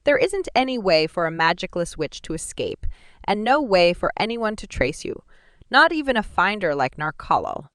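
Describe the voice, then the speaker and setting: A woman's voice: mid-range voice, very animated, normal speed, fairly bright tone, no echo, studio quality. A woman voicing a cruel, mocking male sorcerer in an audiobook, with a sneering, coolly amused manner. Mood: cold. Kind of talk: audiobook dialogue reading.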